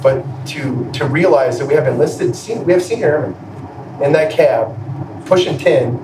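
A man's voice: fairly high pitch, moderate pace. A middle-aged man, a military leader, talking conversationally and encouragingly on a panel. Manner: appreciative, encouraging. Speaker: senior Air Force leader on a town hall panel. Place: large indoor room, seated on a stage. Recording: roomy echo, distant mic, noisy room.

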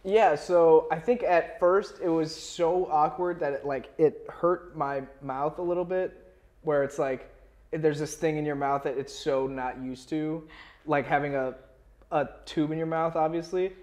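Slightly high-pitched young man chatting casually. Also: fairly even intonation, unhurried, darkish tone. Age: young adult. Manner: rambling, slangy, full of "like". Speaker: musician guest. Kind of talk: casual interview chat. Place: small furnished indoor room. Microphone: clip-on lapel mic.

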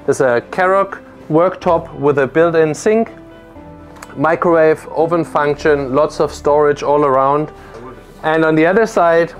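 A man in his thirties giving a methodical walkthrough of a yacht, recorded on board with a lapel mic. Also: fairly high pitch. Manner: explanatory, methodical, lists features. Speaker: boat presenter. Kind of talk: product walkthrough. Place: aboard a motor yacht on a lake, on deck and in cabins.

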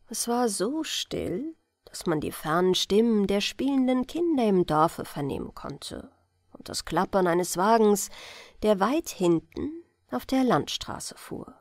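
A woman reading a fairy tale aloud as a bedtime audiobook, calm and gentle in her storytelling. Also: mid-range voice, dry recording, clean studio sound. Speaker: audiobook reader.

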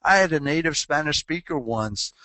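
A man's voice: on the high side, expressive, normal speed, pushed and bright, dry recording. A man presenting a webinar on legal compliance, answering a question earnestly and posing rhetorical questions.

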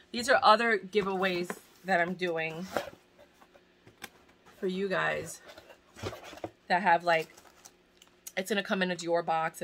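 Chatty bright-voiced woman vlogger. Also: fairly low pitch, a little hiss or hum. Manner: chatty and enthusiastic, rambling with restarts, gushing over items. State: in pain. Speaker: fashion vlogger hosting a giveaway. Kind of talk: casual chat to camera. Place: small home room crowded with bags and boxes, indoors. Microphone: camera at desk distance.

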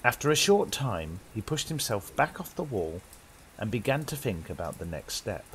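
A man's voice: lowish voice, sing-song, normal speed, fairly bright tone, slight background noise, rough recording. A young British man hosting and narrating a horror tale with dramatic, suspenseful, old-radio theatrical delivery. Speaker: horror story host and narrator.

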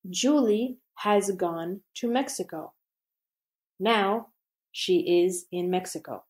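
A woman reading a scripted grammar lesson aloud, slowly and deliberately with pauses between phrases. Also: mid-range voice, darkish tone. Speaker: English teacher.

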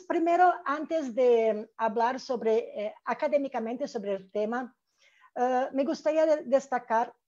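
A middle-aged woman with a Brazilian accent, a native Portuguese speaker, presenting academically and haltingly on a dull-sounding video call. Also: fairly high pitch, warm tone. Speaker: academic panelist, researcher. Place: room with bookshelves indoors. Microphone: earphone mic on video call.

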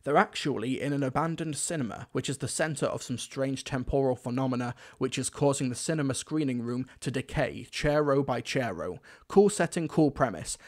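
A man's voice: medium pitch, darkish tone. A young man giving a scripted but conversational, opinionated review commentary. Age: young adult. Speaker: video reviewer.

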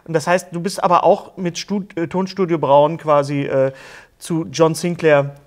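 A man's voice: fairly high pitch, fairly even intonation, normal speed. A man in his early fifties hosting a casual interview in a jokey, playful way. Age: early 50s. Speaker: talk show host. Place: small studio set, indoors.

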